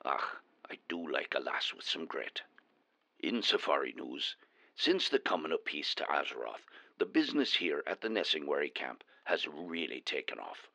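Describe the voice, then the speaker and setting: A man doing a gravelly dwarf reporter. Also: a bass voice, sing-song, normal speed, bright, forceful tone, no room sound, heavily acted. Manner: chatty, wry storyteller. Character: folksy gravel-voiced male dwarf reporter. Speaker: remote correspondent.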